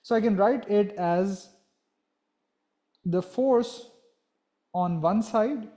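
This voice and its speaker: A man's voice: high voice, very slow, mellow. A young man giving a physics lesson, explaining step by step and often checking in with a "right?". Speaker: physics teacher.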